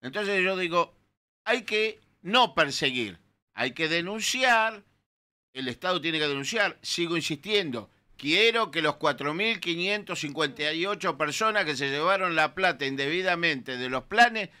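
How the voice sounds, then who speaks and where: A man's voice: on the high side, lively intonation, a little slow, pushed and bright, clear, resonant tone. Indignant Argentine man on studio radio. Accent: Rioplatense Spanish. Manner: emphatic, indignant, gestures while talking. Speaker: radio panelist. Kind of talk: opinionated radio talk. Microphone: studio mic with headphones.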